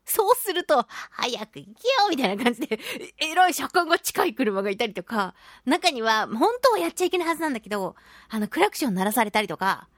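A woman's voice: on the high side, very animated, fast, no room sound, studio quality. A woman hosting a radio show, telling stories casually and animatedly, acting out quoted remarks. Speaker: radio show host, voice actress. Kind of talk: casual radio talk, storytelling.

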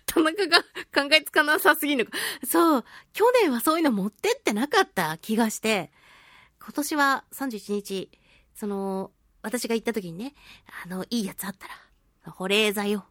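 A woman's voice: on the high side, animated, normal speed, fairly bright tone, no echo, clean studio sound. A woman hosting a solo talk show, chatting casually and telling stories in a playful, giggly manner with sound-effect words. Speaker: radio show host, voice actress.

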